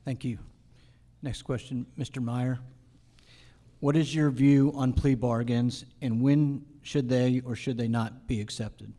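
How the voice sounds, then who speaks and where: Male moderator, medium-pitched mellow voice, formal question reading. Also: fairly even intonation, measured pace. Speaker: forum moderator.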